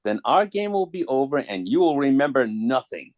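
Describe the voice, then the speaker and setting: Young boy for a comic table read, adult performer: excitable, slangy, very animated delivery, dry sound. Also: medium pitch, moderate pace, no room sound, natural voice. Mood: entranced reciting. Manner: slangy, wide-eyed, childlike. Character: cheeky, excitable young boy, hypnotized into robbery.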